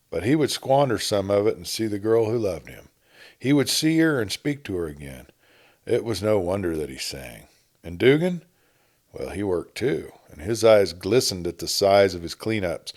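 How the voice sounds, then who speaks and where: Low, mellow male story narrator. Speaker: narrator reading a short story. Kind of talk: scripted dramatic audiobook narration.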